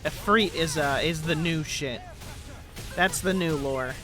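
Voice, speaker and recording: A man's voice: on the high side, a little slow, pushed and bright. A man streaming a game live, rambling and joking with frequent swearing. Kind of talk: casual gaming livestream commentary. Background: game music.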